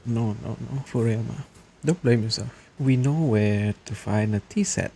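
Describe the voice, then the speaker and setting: A man's voice: mid-range voice, moderate pace, mellow, slight background noise. A man casually reacting aloud as he plays through a video game, in short informal remarks. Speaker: gamer reacting to his playthrough.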